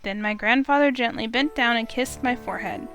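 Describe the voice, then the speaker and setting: A woman reading a visual novel aloud with expressive narration and wry asides, faint music behind. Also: fairly high pitch, brisk. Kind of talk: dramatic reading of game text.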